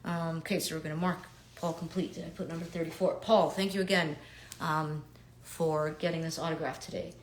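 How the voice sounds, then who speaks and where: A woman from New York in her thirties, chatting casually and upbeat with fans during a livestreamed autograph signing, with lots of fillers and quiet murmurs while she writes. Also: low-pitched, fairly even intonation, fairly quick, a little hiss or hum.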